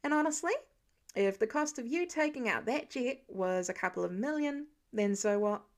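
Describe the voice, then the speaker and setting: A woman's voice: a little high, expressive, moderate pace. Woman reading a wry, fatherly rich man. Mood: amused, proud. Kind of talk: fan fiction audiobook dialogue.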